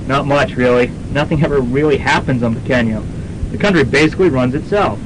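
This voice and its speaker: A man's voice: brisk, lightly acted. A young man playing a dry, smug American businessman in a campus radio comedy. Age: young adult. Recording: noisy rumbling student radio recording.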